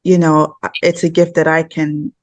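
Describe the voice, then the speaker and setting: Guest, soft soothing delivery, dry close sound, mid-pitched. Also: a little slow, dry recording, highs cut off. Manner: soft, calm, soothing speech. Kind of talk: casual video chat.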